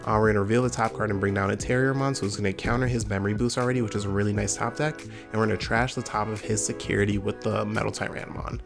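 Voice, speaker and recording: A man's voice: fairly low pitch, fairly even intonation, vocal fry, over music. A young man hosting a card game channel, giving casual gameplay commentary with a slightly nasal voice from a summer cold. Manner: fast, upbeat, casual gamer talk.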